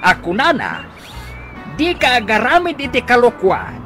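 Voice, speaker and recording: A scolding old ilocano dad, high and swoopy, radio comedy, noisy. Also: male voice, wildly swooping pitch, normal speed, over music, exaggerated voice. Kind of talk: broadcast radio comedy drama acting.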